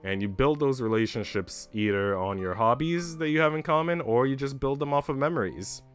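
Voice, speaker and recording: A man's voice: medium pitch, brisk, smooth. A man hosting a livestream, talking casually and candidly to his viewers in a chatty way with some casual swearing. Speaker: livestream host. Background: background music.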